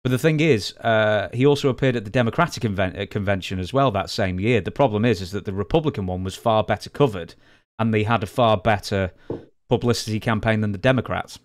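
A young adult man co-hosting a casual podcast from a studio desk mic, matter-of-fact and quick to correct. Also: fairly low pitch, no echo. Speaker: podcast co-host. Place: studio room with collectibles on shelves. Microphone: studio desk mic with headphones.

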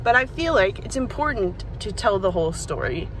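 A woman's voice: mid-range voice, big pitch swings, normal speed, a bit bright, slight background noise, poor audio. A woman in her twenties vlogging candidly from a car, talking to a phone held at arm's length. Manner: chatty, candid, talks to her dog. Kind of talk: casual vlog chat. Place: car interior and outdoor beach. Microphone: phone camera at arm's length.

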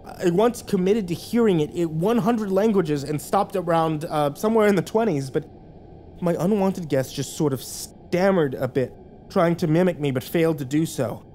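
A man narrating a first-person horror story, hushed and tense, with occasional acted lines. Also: on the high side, moderate pace, a little hiss or hum.

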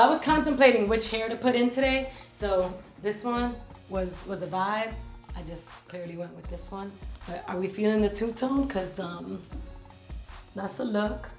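A chatty, playful woman in her thirties walks through the bright rooms of her home on a camera mic. Her pitch sits mid-range, and her asides stretch out loosely. Faint music plays beneath her. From New York. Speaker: vlog host. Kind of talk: casual house tour vlog.

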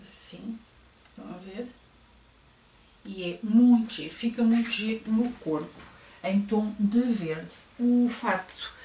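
A middle-aged woman with a European Portuguese accent talks slowly through a clothing haul, full of hesitations and little asides to her viewers. She is picked up by a camera across a bedroom, so her voice sounds distant and roomy. A faint hiss sits under it. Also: mid-range voice, a little mellow. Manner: chatty, hesitant, many fillers, addresses viewers. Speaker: fashion vlogger. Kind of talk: casual clothing haul show-and-tell. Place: bedroom at home, indoors. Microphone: camera across the room.

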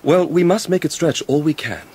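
An English actor playing a confident, patronising teenage schoolboy in a radio drama, with a smooth, lordly manner. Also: male voice, a little high, brisk, a little mellow, a little hiss or hum, lightly acted. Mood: breezy. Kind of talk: British radio drama acting.

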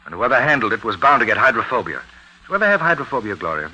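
An American actor playing a suave, wisecracking private detective who needles people coolly, in an old radio drama. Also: male voice, mid-range voice, big pitch swings, fast talker, sharp tone, exaggerated voice, poor audio. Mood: needling. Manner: smooth, sardonic, teasing. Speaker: lead actor. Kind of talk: 1940s-50s radio detective acting. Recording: old radio broadcast recording.

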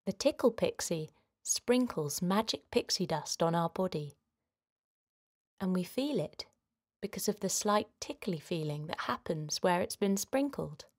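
A woman leading a guided relaxation for children in a gentle, soothing manner, giving simple instructions with pauses. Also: lowish voice, lively intonation. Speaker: children's guided meditation host.

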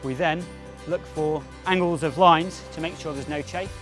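A man's voice: on the high side, moderate pace. A young man giving instructional explanations to camera as a sailing skipper, outdoors on a yacht. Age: young adult. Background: music.

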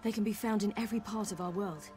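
Lady playing young british warrior woman, mid pitch, earnest n determined, fantasy game w/ soft music behind. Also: steady tone, fast talker, close to an everyday voice. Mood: measured, explaining. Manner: earnest, urgent, formal phrasing. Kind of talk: cinematic fantasy game acting.

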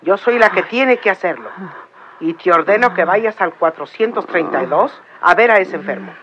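A woman's voice: on the low side, lively intonation, moderate pace, highs cut off, close to an everyday voice. Stern head nurse giving orders, played by a woman; heavy hiss on an old radio tape. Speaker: radio drama actor.

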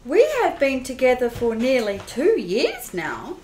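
A woman's voice: high voice, wildly swooping pitch, measured pace, a little mellow, a little hiss or hum, exaggerated voice. A woman playing a party-loving vampire socialite in an amateur radio play. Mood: airy, chatty. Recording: roomy, echoey home recording.

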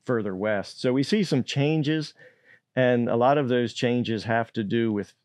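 A man's voice: mid-range voice, darkish tone, no echo. An older man, a state fisheries manager, giving careful answers in a podcast interview, with frequent fillers and restarts. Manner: measured, hesitant, many fillers and restarts. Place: indoor podcast studio. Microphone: boom-arm studio mic.

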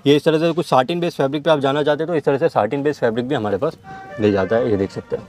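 A young man showing fabric stock on a warehouse floor, reeling off items in rapid salesman patter. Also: medium pitch, darkish tone, soft background music. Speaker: fabric seller. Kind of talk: product showcase walkthrough.

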